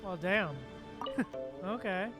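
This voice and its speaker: A woman's voice: mid-range voice, expressive, slow. A woman streaming a video game and reacting casually to it. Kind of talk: casual reactions while gaming. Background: game music and effects.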